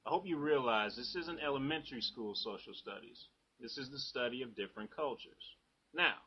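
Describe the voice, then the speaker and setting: Man as strict teacher, dry sarcasm, formal delivery, radio drama, medium pitch, dull recording. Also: close to an everyday voice. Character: stern, sarcastic male high school teacher. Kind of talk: amateur radio drama acting.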